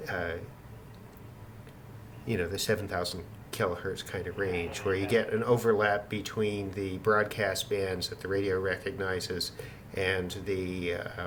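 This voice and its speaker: A man's voice: low voice, a bit bright. A man giving a casual, hesitant narrated demonstration as a shortwave radio hobbyist, musing and trailing off. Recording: clear radio static and hiss. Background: shortwave radio noise.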